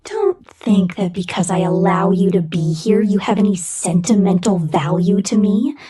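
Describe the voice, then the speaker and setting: A woman's voice: lowish voice, mellow, faint music behind. A woman voicing a cruel, domineering female robot supervisor in an ASMR roleplay, condescending and menacing, often dropping into whispers. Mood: cold, domineering. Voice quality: breathy. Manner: condescending, menacing, slow theatrical delivery with frequent whispers.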